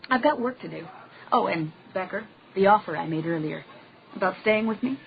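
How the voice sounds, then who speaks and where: A woman's voice: mid-range voice, expressive, gravelly, lo-fi sound, soft background music. An actress playing a determined 1920s woman reporter, insistent and challenging, in a detective radio drama. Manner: insistent, quick-fire, challenging. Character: determined, pushy young female newspaper reporter in 1925.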